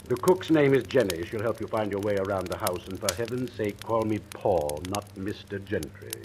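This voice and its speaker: Casting: male actor as domineering, volatile master of the house, low voice, dramatic swings, vintage radio recording with noise. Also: lively intonation, moderate pace, natural voice. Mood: commanding. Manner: imperious, commanding, volatile. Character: domineering, sardonic writer, rumoured wife-killer, menacing. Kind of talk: 1940s radio drama acting.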